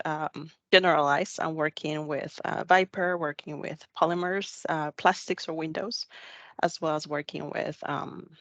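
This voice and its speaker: A woman's voice: low voice, fairly bright tone, dry recording. A young woman introducing herself as a student intern on a video call, hesitant, with many fillers. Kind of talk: self-introduction on a panel. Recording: dull, dry video call audio.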